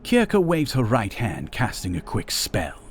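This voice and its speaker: Slow, low-voiced male fantasy narrator. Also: huge pitch swings. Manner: measured, deliberate storytelling. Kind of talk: dramatic scripted fantasy narration. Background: music.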